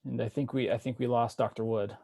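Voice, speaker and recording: A male professor talking casually on a webinar over a video call, relaxed and encouraging, with many fillers. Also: mid-range voice, fairly quick, vocal fry, breathy edge, dry recording.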